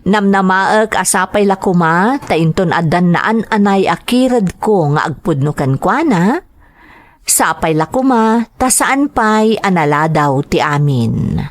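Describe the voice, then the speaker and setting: A woman's voice: lowish voice, animated, unhurried. A woman with an Ilocano accent giving a dramatic scripted narration of a personal letter.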